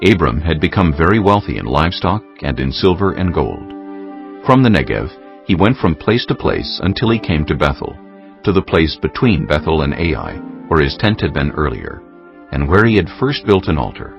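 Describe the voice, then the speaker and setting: A man with an extremely low, gravelly voice narrates scripture in a solemn, deliberate way. His delivery is dramatic and scripted. Music plays clearly behind him. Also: sing-song, lo-fi sound.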